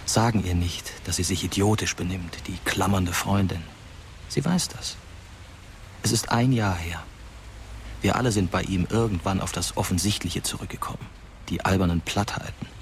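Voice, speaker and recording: Brooding dead teen narrator for radio drama, very low voice, noise behind. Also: male voice, moderate pace. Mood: bitter, sardonic.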